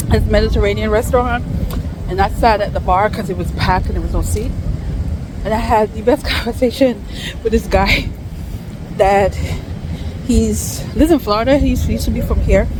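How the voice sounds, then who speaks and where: A tired woman chatting casually into her own vlog camera while walking outdoors. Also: mid-range voice. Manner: tired, chatty, laughing at herself. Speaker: vlogger. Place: outdoors on a city street. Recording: street noise around. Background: street and car traffic noise.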